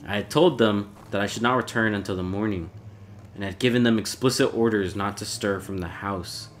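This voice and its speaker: A man giving a measured, formal, brooding dramatic reading of a classic horror story as its narrator. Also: fairly low pitch. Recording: clear background noise, ambience.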